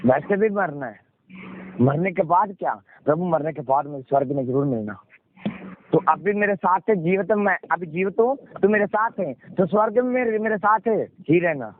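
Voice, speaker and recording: Punjabi guy pastor preaching on a conference call, kinda high voice, keeps asking and answering his own questions, thin phone sound. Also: lively intonation, moderate pace. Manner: exhorting, repeats phrases, asks questions and answers them. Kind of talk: sermon with rhetorical questions, call and response. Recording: muffled, noisy conference call audio.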